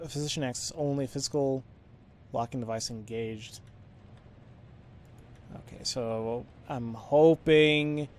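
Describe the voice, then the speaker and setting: A man giving casual, halting let's play commentary, informally thinking aloud as he goes. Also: mid-range voice, darkish tone. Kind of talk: casual video game commentary.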